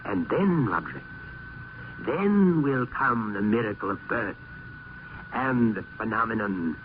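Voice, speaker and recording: An actor playing a grave philosophical friend and narrator in a vintage radio play, with solemn, florid, oratorical delivery. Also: male voice, on the low side, very animated, measured pace. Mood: grave, prophetic. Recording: tinny, noisy old radio recording.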